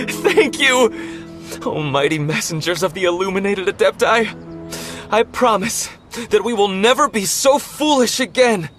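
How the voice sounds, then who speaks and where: An actor playing a poor, humble young male treasure hunter in a fantasy game, fretful and fawning as he pleads. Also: high voice. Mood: desperate. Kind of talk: dramatic fantasy game voice acting.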